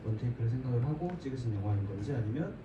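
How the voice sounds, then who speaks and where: A man moderates the Q&A with brief, polite questions. He sounds distant in a reverberant hall. Loud background noise sits under his voice. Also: medium pitch, very fast, dull audio. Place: cinema auditorium. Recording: distant, echoey, noisy hall.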